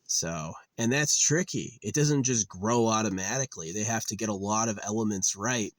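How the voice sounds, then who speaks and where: Weary low-voiced man rambling. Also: normal speed, no echo. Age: 30s. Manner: musing, meandering, full of fillers and restarts; weary, resigned. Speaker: channel host. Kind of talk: rambling monologue.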